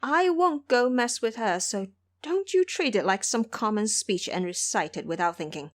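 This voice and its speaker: A woman's voice: a little high, animated, moderate pace, no echo, natural voice. A woman voicing a rebellious, hot-tempered male monkey disciple in an audio storybook drama, exclaiming and pleading. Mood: submissive.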